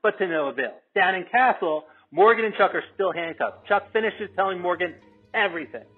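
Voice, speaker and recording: High, husky male stage-direction reader. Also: brisk, phone-quality sound. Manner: informal, stumbles and ad-libs. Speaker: narrator reading stage directions. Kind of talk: scripted narration at a comedy table read.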